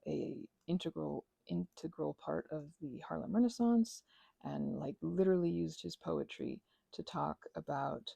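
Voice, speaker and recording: A woman's voice: deep voice, a little slow, gravelly, dark tone, dry recording. A woman chatting casually and hesitantly in a meandering craft ASMR ramble, close to the mic. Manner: hesitant, meandering, self-interrupting. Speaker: host of a craft ASMR channel.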